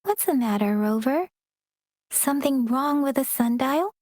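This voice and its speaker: A calm young guide who explains things in a soft, measured, slightly breathy voice, pitched a little high. The sound is dry and close. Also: female voice, no room sound. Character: gentle, polite young woman guide, calm and informative. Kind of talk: game cutscene voice acting.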